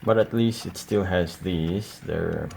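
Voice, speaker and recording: A man giving a casual, unscripted show-and-tell in a hesitant, halting way. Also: low-pitched, gravelly. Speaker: collector showing cassette tapes. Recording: audible steady background noise.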